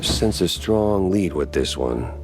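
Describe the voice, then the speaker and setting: A man playing a gruff, hardboiled mouse detective in a noir video game, world-weary, stubborn and dry in his tough talk. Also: low-pitched, animated, slow. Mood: brooding. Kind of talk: noir game narration and dialogue. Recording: music behind the voice. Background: prominent background music.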